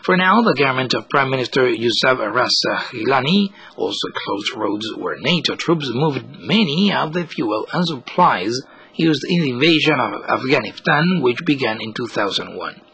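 Bright, forceful male news reporter. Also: medium pitch, expressive, normal speed, breathy edge, poor audio. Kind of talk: scripted news commentary.

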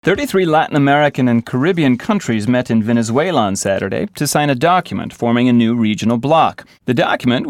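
A man's voice: mid-range voice, no room sound, clean studio sound. A man reading scripted radio news as a newsreader.

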